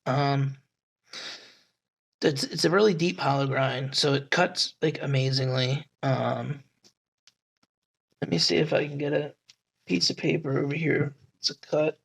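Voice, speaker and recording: Brief: man, raspy and hoarse, unhurried rambling delivery, slightly high voice. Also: a bit bright. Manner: rambling, swearing, frequent fillers. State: hoarse at night. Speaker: knife reviewer. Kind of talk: casual talk to camera.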